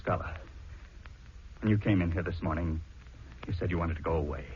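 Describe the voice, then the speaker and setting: Forceful middle-aged husband, husky voice, emphatic delivery, protective, dull 1940s radio recording. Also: male voice, low voice, fairly quick. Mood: firm, resolute. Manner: emphatic, blunt.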